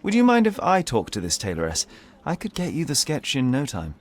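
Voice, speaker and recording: An actor playing a cool, self-assured young detective in a video game, speaking in a clipped, formal, composed way. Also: male voice, medium pitch, huge pitch swings, a little fast. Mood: brisk, confident. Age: young adult. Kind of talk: video game cutscene acting.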